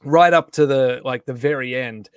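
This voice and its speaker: Male live stream host, casual and conversational, mid-pitched, close dry recording. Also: moderate pace, clear, resonant tone, no room sound. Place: indoors at home on a group video call.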